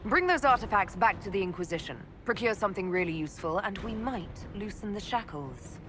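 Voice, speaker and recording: An actress playing an elf mage leader in a game, measured, dry and resolute. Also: female voice, mid-range voice, lively intonation, a bit bright, slight background noise, natural voice. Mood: stern, dry. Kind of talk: dramatic game acting.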